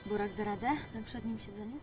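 A young woman played by an actress in a naturalistic romantic drama. She teases in casual, colloquial speech at an ordinary woman's pitch, with music playing clearly behind her. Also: level tone, fast talker, lightly acted. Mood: playful, sleepy. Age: young adult. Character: playful, teasing young woman on a first meeting.

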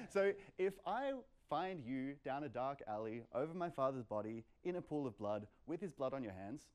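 A young man gives a casual talk at a meetup. His voice sits at an ordinary male pitch, and he sounds playful and chatty as he explains ideas to the room and puts questions to his listeners. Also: expressive, normal speed, hardly any pauses, clear, resonant tone. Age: young adult. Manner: playful, conversational, peppered with "like" and "kind of". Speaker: meetup presenter. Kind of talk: informal live lecture with audience questions. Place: medium-sized lecture room, indoors.

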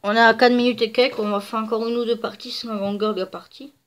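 A woman's voice: a little high, fairly even intonation, fast. A woman with a stuffy, nasal voice from a cold, chatting casually through gameplay commentary with lots of fillers. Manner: chatty, rambling, many fillers. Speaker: gaming video creator.

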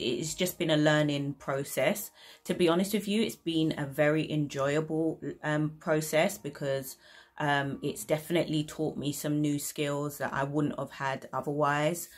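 A young woman speaks to her camera from a bedroom, her voice sitting unusually low. She talks in a candid, rambling way, full of fillers and restarts. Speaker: vlogger. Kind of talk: casual sit-down chat to camera. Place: bedroom indoors.

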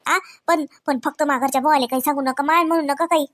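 A woman as a shrill-high pleading village debtor, Vidarbha accent. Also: steady tone, quick pace, fairly bright tone. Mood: wheedling. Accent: Vidarbha Marathi. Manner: wheedling, repetitive, plaintive. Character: anxious, pleading village woman who owes money. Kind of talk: comedy cartoon dialogue in rural dialect.

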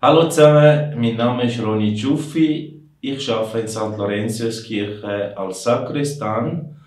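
A man's voice: mid-range voice, unhurried, a little mellow. A man, a sexton and Aramaic native speaker, giving a friendly, straightforward Christmas greeting. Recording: echoey room, mic at a distance.

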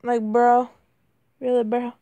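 A woman's voice: fairly high pitch, level tone, takes it slowly, mellow, dry recording. A woman chatting through a mobile game in a casual, flustered way, her voice cracking, saying "bro" and "like" constantly. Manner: self-deprecating, easily flustered. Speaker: gamer. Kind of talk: casual mobile gameplay commentary.

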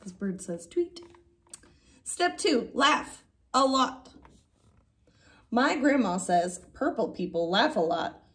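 A woman in her thirties reading a picture book aloud like a teacher, with expressive, drawn-out emphasis on key words. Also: on the high side. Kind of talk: animated children's story read-aloud. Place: indoor classroom.

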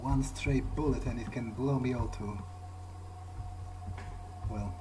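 Male gamer, mid-pitched, in-character vampire musing, halting delivery, game ambience and clear noise behind. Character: self-assured vampire thinking aloud. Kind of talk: in-character gameplay commentary, thinking aloud. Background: video game ambience.

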